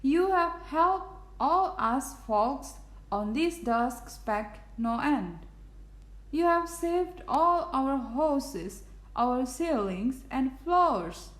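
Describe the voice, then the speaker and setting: A tiny storybook mayor, played by a woman, speaks in a high, piping voice. The delivery is slow, polite and earnestly grateful, as if every word matters. The sound is roomy. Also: animated, exaggerated voice.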